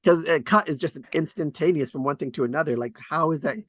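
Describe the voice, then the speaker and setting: A man's voice: on the high side, fast, no room sound. A man hosting a table read, chatting casually and hesitantly about scriptwriting as he thinks aloud. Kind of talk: casual rambling discussion of screenwriting.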